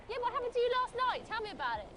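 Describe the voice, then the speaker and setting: A woman with a high, slightly phlegmy voice chats in a chirpy, teasing manner. She is outdoors on a beach, with clear background noise around her. The talk is loose and casual. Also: very animated, racing. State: phlegmy, recovering from illness. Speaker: ghost-hunt team member. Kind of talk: casual chat.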